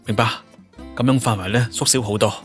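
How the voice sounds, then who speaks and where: Performer as an earnest policeman, medium pitch. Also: fairly even intonation, brisk, soft background music, natural voice. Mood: dutiful. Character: earnest, deferential male police officer, eager to act.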